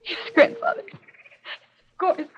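An actress playing a troubled, dreamy young granddaughter in a 1940s radio mystery, with a halting, pleading, dramatic delivery. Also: female voice, natural voice. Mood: anxious, obedient. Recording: muffled old 1940s radio recording.

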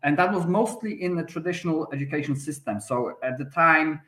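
A man's voice: on the high side, brisk, fairly bright tone. A man in his thirties with a Polish accent, a podcast guest answering at length with frequent restarts and fillers. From Poland. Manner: hesitant, many restarts and fillers. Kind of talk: conversational interview answer. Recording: roomy echoey sound.

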